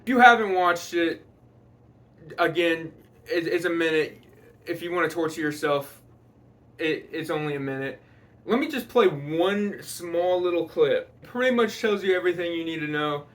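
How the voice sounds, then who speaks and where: A man giving a casual, rambling movie commentary, exasperated and quick to swear. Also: on the high side, a bit bright. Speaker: video commentator.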